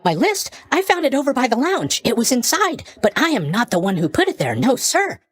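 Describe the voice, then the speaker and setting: Casting: very high-voiced, bouncy young sleep god for a game; folksy, rambling greeter, swooping intonation, tactless but sunny. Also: male voice, wildly swooping pitch, fairly quick, a bit bright, no room sound, full character voice. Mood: flustered, rambling. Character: cheerful, drowsy young male god of sleep, chatty underworld greeter. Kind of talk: animated video game character voice lines.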